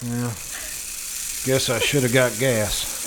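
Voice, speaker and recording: A man acting an exasperated but protective husband in a live old-time radio suspense play, halting and reasoning. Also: mid-range voice, little pitch movement, close to an everyday voice. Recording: live recording with loud background noise.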